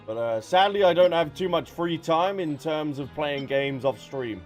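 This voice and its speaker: Chatty, higher-voiced man livestreaming. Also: normal speed, soft background music. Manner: chatty, playful. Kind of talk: casual game stream chat, reading and answering viewers. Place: behind: game music.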